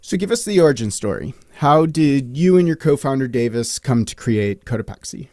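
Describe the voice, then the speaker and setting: A male podcast host opens with a polished, upbeat scripted introduction, then moves into his questions. His voice sits in a middle pitch, and the recording is dry, with no room sound. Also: big pitch swings, moderate pace, a little mellow, no room sound.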